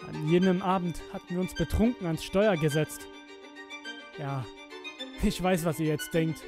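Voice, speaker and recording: A young man acting a guilt-ridden, haunted twenty-year-old who gives a halting, emotional confession in a horror audio drama. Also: fairly high pitch, steady tone, natural voice. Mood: bitter regret. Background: music with violin.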